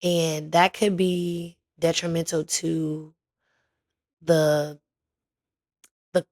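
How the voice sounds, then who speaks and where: A young woman hosting her own podcast in casual chat, her voice raspy and hoarse as it recovers, talking playfully with lots of slang. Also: sits low, flat delivery, darkish tone. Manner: chatty, playful, slangy, drawn-out emphasis. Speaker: podcast host.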